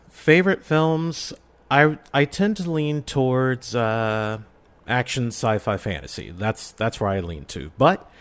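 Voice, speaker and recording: A man chatting casually as a podcast guest, talking in an animated, emphatic fan's way. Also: fairly low pitch. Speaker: podcast guest, film reviewer and audio drama creator. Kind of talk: casual podcast interview chat.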